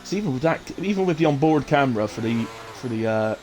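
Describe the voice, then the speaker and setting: A man with a chesty, mid-range voice hosts a live sim racing stream. He commentates informally in short running phrases, with clear noise behind him. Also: medium pitch, lively intonation, fast talker, a little mellow. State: coughing, chest infection. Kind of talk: live race commentary with casual chat.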